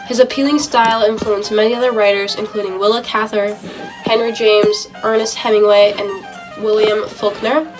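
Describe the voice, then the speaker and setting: A woman's voice: fairly high pitch, distant mic, rough recording. A young woman reading a scripted radio report as a 1920s-style presenter.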